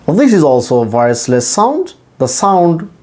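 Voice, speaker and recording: A man with a Bangladeshi accent, a native Bengali speaker, teaching English pronunciation in a deliberate, repetitive, demonstrative instructional style. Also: on the high side, sing-song, darkish tone.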